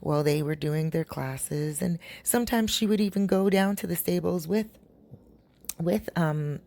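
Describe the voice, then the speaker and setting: A woman with a raspy, dry-throated voice, chatting to her viewers as she tells a long story. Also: on the low side, unhurried, dark tone. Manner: chatty, addresses viewers directly. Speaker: storytelling vlogger. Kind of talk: casual storytelling. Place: home in a Caribbean town.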